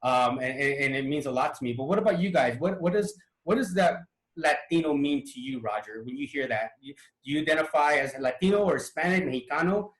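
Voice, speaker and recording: A man hosting a relaxed panel conversation over a video call, chatty and loose, often repeating words as he goes. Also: on the high side, fast, echoey room, low-quality recording. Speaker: panel host. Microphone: video call mic.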